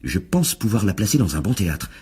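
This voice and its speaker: Give the part to a seductive, scheming playwright in a radio play. His voice is very low and strongly husky, swooping expressively as he coaxes and flatters. He sounds confiding, like a man sharing a secret. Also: a little fast, full character voice. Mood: eager, charming. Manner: coaxing, flirtatious, secretive. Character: smooth, persuasive actor-playwright wooing an old flame. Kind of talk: French radio drama acting.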